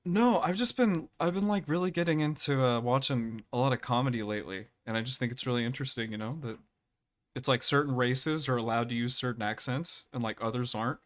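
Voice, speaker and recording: A man's voice: mid-range voice, a little fast. Sleepy guy rambling on a call, um and uh everywhere. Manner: hesitant, rambling, lots of fillers. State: groggy. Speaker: panelist. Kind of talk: casual online panel chat.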